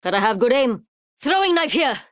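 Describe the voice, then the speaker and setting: A woman's voice: sits high, sing-song, moderate pace, fairly bright tone, no echo, clean studio sound. A woman voicing a confident young female fighter in a video game. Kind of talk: video game character callouts.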